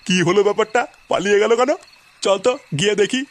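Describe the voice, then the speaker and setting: A man's voice: sits high, quick pace, close to an everyday voice. A man voicing a crude, bossy older village man in a comedy cartoon, swearing emphatically. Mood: suspicious. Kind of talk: foul-mouthed comedy cartoon dialogue.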